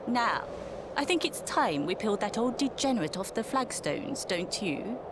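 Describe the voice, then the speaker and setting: An actress playing a stern, imperious martial arts master in a video game, haughty and commanding, grudging with praise. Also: female voice, a little high, very animated, moderate pace, background noise. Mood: grudging approval. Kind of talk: dramatic video game voice acting. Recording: loud music behind the voice. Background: loud game music.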